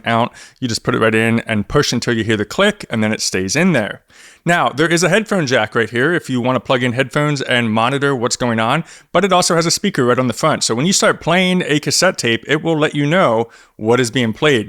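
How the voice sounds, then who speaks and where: A man's voice: medium pitch, fairly bright tone, no echo. Man explaining a product, dry close mic. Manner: conversational, step-by-step explaining. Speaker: product reviewer. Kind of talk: casual explanatory product review to camera. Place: small home office studio, indoors. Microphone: close desk microphone on boom arm.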